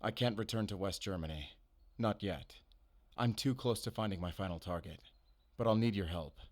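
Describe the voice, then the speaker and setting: A man performs a guarded, determined werewolf hunter in a cinematic audio drama. His low voice is terse and businesslike, giving short, clipped statements and orders. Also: normal speed, natural voice. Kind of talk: cinematic audio roleplay acting.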